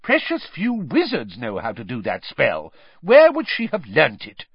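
A man voicing a kind, reasonable middle-aged wizard who speaks calmly and patiently. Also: medium pitch, measured pace, slightly rough, no room sound, dull audio, voice pushed up, heavily acted. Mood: calm reasoning. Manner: calm, patient, gently persuasive.

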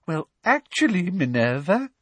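A man's voice: very high-pitched, takes it slowly, darkish tone, no echo, voice pushed up, heavily acted. A man voicing a smug female official in a storybook, simpering with poisonous, sickly sweet politeness. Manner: simpering, falsely polite, throat-clearing.

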